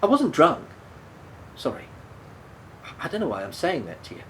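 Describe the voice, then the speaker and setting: An actor in a radio play playing a remorseful, defensive man who speaks hesitantly, stumbling and repeating himself. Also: normal speed. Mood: agitated, defensive. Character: remorseful, defensive man haunted by a fatal drunk-driving crash. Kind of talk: naturalistic radio play dialogue. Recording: steady background noise, low rumble.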